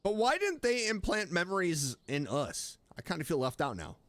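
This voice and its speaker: A man doing casual live gameplay commentary, expressive, reacting aloud and talking to the characters. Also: high-pitched, normal speed, no room sound. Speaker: game streamer.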